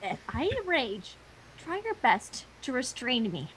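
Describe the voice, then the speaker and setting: A woman's voice: a little high, very animated, slow, a bit bright, breathy edge, low-quality recording. A woman in casual, joking banter as a co-reader of fan fiction.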